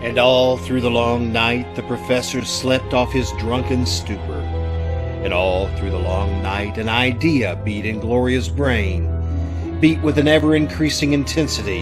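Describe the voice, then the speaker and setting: A man's voice: medium pitch, a little slow, natural voice. A man playing an ominous storyteller, narrating a mystery radio drama in a brooding, suspense-building way. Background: music.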